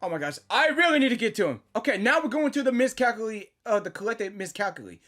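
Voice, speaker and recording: A woman hosts a casual game reaction with a very lively, swooping voice. She exclaims and repeats things in astonishment. Music plays faintly behind her. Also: on the high side, fast. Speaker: reaction video host.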